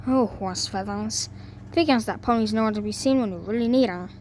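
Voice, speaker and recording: A woman's voice: medium pitch, a little fast, lightly acted. A woman voicing a brash, exasperated but caring cowgirl pony in a story reading, with a folksy, scolding manner. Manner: folksy, scolding, calling out loudly. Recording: noisy amateur home recording.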